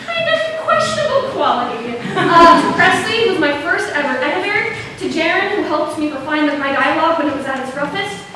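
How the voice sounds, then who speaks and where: A woman's voice: very high voice, sing-song, brisk, hardly any pauses, bright, forceful tone. A young woman giving an earnest, slightly hesitant and informal welcome speech as a playwright before a show. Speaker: playwright introducing own school play. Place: echoey performance space with seated audience. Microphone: distant video camera at the back. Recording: distant microphone, echoey noisy hall.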